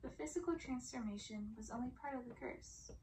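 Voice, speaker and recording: A woman reading a story as ASMR in a hushed, deliberate way, often slipping into a soft whisper. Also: a little high, a little hiss or hum. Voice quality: soft, breathy whisper at times. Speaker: ASMR reader.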